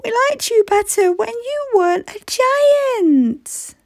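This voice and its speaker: Indignant storybook villagers and children, all put on by a woman. The voice jumps very high and swings widely, exclaiming with open reproach. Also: lively intonation, slow pace, a little mellow, no room sound, clean studio sound, full character voice. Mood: dismayed.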